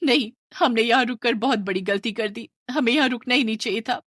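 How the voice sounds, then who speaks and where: A woman's voice: fairly high pitch, fairly quick, fairly bright tone, dry recording, studio quality, pitched above the performer's own voice. A woman voicing a frightened, insistent mother in a horror story, speaking anxiously and emphatically. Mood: panicked.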